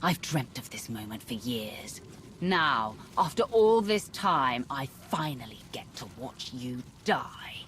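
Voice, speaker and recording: An actress playing a cold, vengeful Sith warrior commander in a video game, menacing and commanding. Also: female voice, extremely low pitch, very animated, slow, a bit bright, a little hiss or hum, exaggerated voice. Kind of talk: cinematic video game voice acting.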